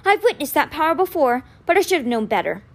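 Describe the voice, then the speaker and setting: A woman as a kindly, patient old gentleman mentor. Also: high voice, sing-song, fast, voice pushed up. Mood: gentle, reassuring. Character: kindly, wise old male magician mentor, gently grave.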